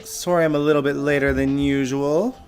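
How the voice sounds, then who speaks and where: A man hosting a casual live stream, chatty and animated, laughing often, with a slightly hoarse voice. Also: a little high, brisk. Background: music playing faintly.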